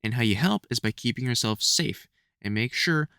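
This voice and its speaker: Audio drama casting: male actor as seasoned vampire hunter, mid-range voice with animated swings, sardonic and protective mentor. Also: mid-range voice, moderate pace, vocal fry, smooth, no room sound, studio quality. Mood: focused, wry. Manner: colloquial, sardonic, scolding mentor with mild swearing. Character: gruff, protective veteran male vampire hunter, exasperated but fond. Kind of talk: scripted audio roleplay, one-sided conversation.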